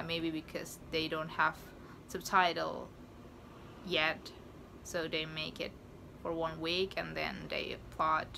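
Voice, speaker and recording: A woman's voice: deep voice, vocal fry, background noise. A young woman hosting a reaction video from her bedroom, chatting in casual non-native English. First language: not English. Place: small bedroom with posters.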